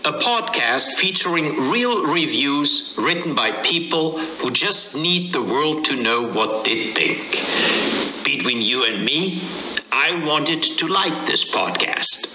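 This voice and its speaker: Male, echoey recording, music underneath, scripted intro read, slow and measured. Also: fairly high pitch, lively intonation, sharp tone, slight background noise. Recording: roomy echo, music bed underneath.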